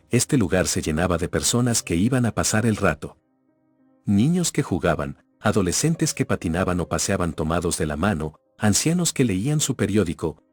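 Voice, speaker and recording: A man tells horror stories from a script. His voice is very low and noticeably husky, and he keeps a measured, ominous storytelling manner. The recording is very dry and close. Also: darkish tone, dry recording. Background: background music.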